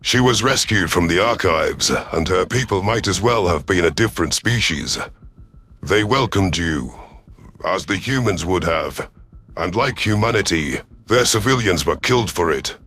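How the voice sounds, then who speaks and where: A man voicing a solemn, remorseful reptilian alien predator with measured, formal speech in an audio sci-fi story. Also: low-pitched, lively intonation, fairly bright tone, voice pushed down.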